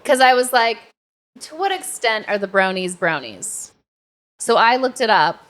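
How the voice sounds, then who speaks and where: A woman's voice: on the high side, big pitch swings, unhurried, a bit bright. Casual American woman co-hosting a podcast. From United States. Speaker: podcast co-host.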